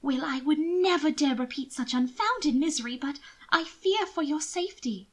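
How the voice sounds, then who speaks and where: A woman's voice: sits high, lively intonation, normal speed, pitched above the performer's own voice. A woman voicing an anxious, sly young genteel lady in an audiobook, speaking in an urgent, coaxing, dramatic way. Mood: grave warning. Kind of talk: audiobook character dialogue.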